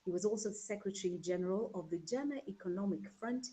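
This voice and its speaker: A soft-spoken woman, hosting an online panel discussion in a measured way over a video call. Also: medium pitch. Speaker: host.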